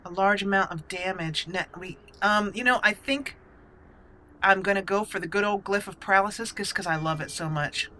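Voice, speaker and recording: A woman's voice: medium pitch, normal speed, pushed and bright, a little hiss or hum, low-quality recording. A woman hosting a roleplay let's play of a fantasy game, thinking aloud as she muses over her choices. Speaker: gaming channel host and player.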